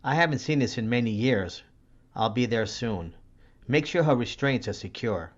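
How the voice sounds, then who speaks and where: A man's voice: mid-range voice, animated, moderate pace, dull audio, natural voice. A man playing a composed, serious asylum doctor in an audio horror drama. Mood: grave.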